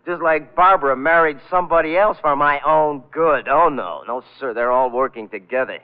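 A man's voice: a little high, moderate pace, clean tone, phone-quality sound, natural voice. An actor playing a bitter, self-pitying young man who narrates his own downfall in old-time radio thriller style. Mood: agitated, paranoid. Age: young adult. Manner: brooding, dramatic, self-pitying. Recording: band-limited vintage radio recording.

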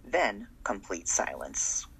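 A radio-play narrator with a breathy, husky voice that rises and falls in a lively way, keeping a hushed storytelling tone. The delivery sounds bright and forward. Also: low voice, slow pace, poor audio. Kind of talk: scripted drama narration.

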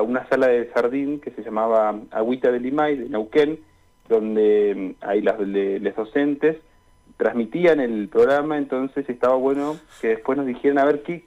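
A young Argentine man answering questions in a conversational radio interview, speaking in a hesitant, halting way. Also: mid-range voice, darkish tone. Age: young adult. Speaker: interview guest, student radio drama maker. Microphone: remote call into a radio show. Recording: dull, lo-fi call-in sound.

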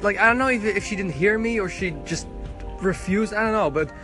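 A man's voice: sits high, a little fast, bright, forceful tone, soft background music. A young man recording a casual audio diary on his phone while he waits outdoors at a bus stop, chatty and rambling with lots of restarts. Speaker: podcast host.

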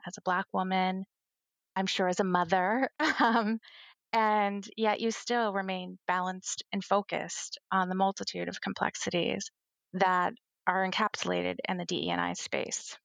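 A woman hosting a podcast speaks in a gravelly voice with a dry, close sound. She reads a prepared, earnest introduction to her guest. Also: medium pitch, fairly bright tone, dry recording. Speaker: podcast host. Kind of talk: podcast interview.

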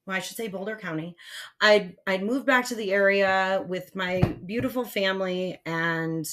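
A woman in her forties with a raspy voice, co-hosting a podcast in spunky, excitable casual chat. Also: mid-range voice, moderate pace. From United States. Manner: spunky, excitable, lots of fillers. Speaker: podcast co-host.

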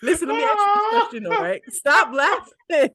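A woman's voice: very high-pitched, lively intonation, moderate pace, gravelly, sharp tone, no room sound, clean studio sound. A woman in her twenties co-hosting a podcast, chatting casually, teasing and cursing, often breaking into laughter. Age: 20s. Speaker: podcast co-host. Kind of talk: casual chat.

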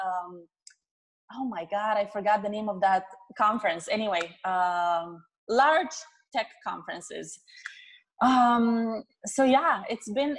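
A woman from Romania with a Romanian accent, a career coach chatting as an interview guest in a quick, upbeat way. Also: mid-range voice, rough recording. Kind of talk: interview guest, casual chat.

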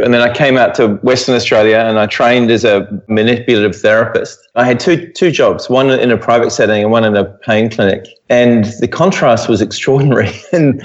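A man's voice: fairly low pitch, lo-fi sound. A middle-aged man with a New Zealand accent explaining things reflectively as an interview guest. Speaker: guest expert, physiotherapy professor. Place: at home, remote interview.

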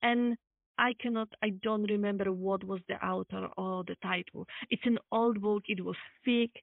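A woman's voice: mid-range voice, fairly even intonation, moderate pace, vocal fry, no echo. A woman from Poland with a Polish accent, speaking English as a second language in a casual, chatty way, often repeating and rephrasing herself. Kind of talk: casual chat. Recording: thin phone-like call audio.

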